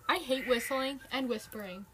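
A woman with a somewhat high voice chats casually as a podcast co-host. She rambles playfully and drifts from thought to thought without much of a plan. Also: moderate pace, noisy background. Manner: rambling, playful, jumps between silly topics. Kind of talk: casual chat.

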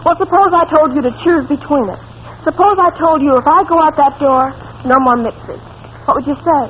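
Saucy, headstrong young noblewoman, played by an actress, high-pitched, arch delivery, vintage radio comedy with faint noise. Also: fairly quick, lightly acted. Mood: arch, teasing. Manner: arch, teasing. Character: saucy, headstrong young princess, teasing and willful. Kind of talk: 1940s radio comedy acting. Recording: tinny old-time radio recording, faint noise.

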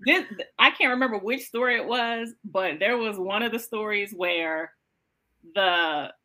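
A woman hosting a podcast in a casual, conversational way, expressive and emphatic as she talks things over with a guest. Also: medium pitch, unhurried. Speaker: podcast host.

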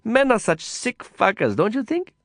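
Brash, foul-mouthed young woman reporter, teasing and quick to snap; a man doing her voice. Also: sits high, very animated, a bit bright, no echo, studio quality, pitched above the performer's own voice, heavily acted. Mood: mocking. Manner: sassy, profane, teasing.

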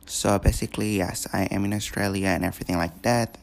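A man's voice: on the low side, fairly even intonation, brisk, vocal fry. A teenage male podcast host from Indonesia, talking casually and rambling with many fillers and repeated words. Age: teens.

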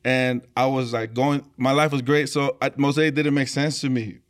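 A man whose first language is Tongan, telling personal stories in a casual interview in a halting, reflective, conversational way. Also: medium pitch, level tone. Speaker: church choir leader and worship singer.

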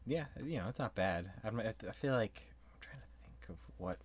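Man, podcast co-host, low voice, easygoing teasing banter. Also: animated, normal speed, heavy background noise. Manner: laughs often, teasing. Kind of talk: casual chat.

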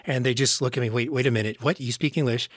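A man's voice: mid-range voice, fairly even intonation, fast, fairly bright tone, dry recording, studio quality. A man from the United States, a podcast host chatting casually in an interview.

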